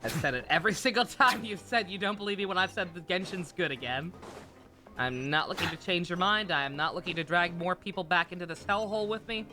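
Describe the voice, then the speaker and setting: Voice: mid-range voice, fast talker, bright, forceful tone, faint music behind. A streamer giving casual, sarcastic commentary during a game livestream. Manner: sarcastic, wry.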